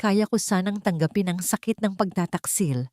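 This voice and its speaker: A woman's voice: mid-range voice, normal speed, a little mellow, no room sound, natural voice. Steely wronged bride, as a woman narrator reads her. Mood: cold anger. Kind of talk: audio storybook dialogue.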